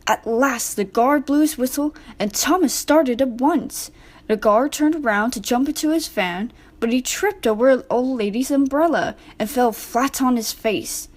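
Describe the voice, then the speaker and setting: A woman's voice: high voice, measured pace. A woman narrating a scripted children's storybook.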